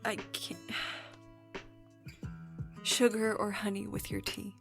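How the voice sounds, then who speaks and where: An actress playing a sad, perceptive mystic shopkeeper in an audio drama. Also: female voice, medium pitch, completely flat delivery, slow pace, vocal fry, music in the background. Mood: knowing, guarded. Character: sad, perceptive woman keeping a mystic bookshop.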